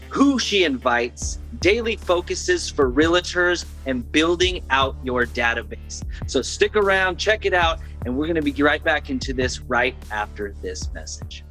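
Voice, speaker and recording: A man hosting a podcast, energetic and hype-filled, often stumbling and restarting his sentences. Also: mid-range voice. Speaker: podcast host. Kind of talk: hyped show host intro and interview.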